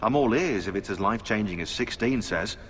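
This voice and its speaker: A sardonic British researcher, echoing room. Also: male voice, on the low side, expressive, a little fast, fairly bright tone. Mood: sarcastic, grumbling. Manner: dry, sarcastic. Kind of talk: video game cutscene acting.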